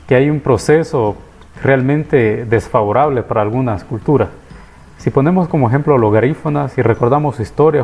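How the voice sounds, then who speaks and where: A man's voice: mid-range voice, darkish tone. A man from Honduras giving a reflective spoken course presentation, hesitant, with many restarts and fillers.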